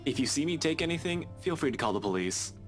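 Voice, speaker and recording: A man's voice: on the high side, big pitch swings, fast, music in the background. A man playing a worried young man putting on casual ease in a visual novel game. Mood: teasing. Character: tense young man hiding worry behind casual ease.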